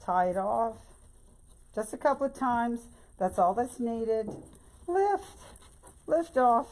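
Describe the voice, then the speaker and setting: An older woman with a hoarse voice and a Louisiana Southern accent, chatting folksily through a bow-making tutorial. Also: fairly high pitch, animated, takes it slowly, a little mellow. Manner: chatty and folksy, keeps saying y'all. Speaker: craft tutorial host. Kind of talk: casual bow-making demonstration.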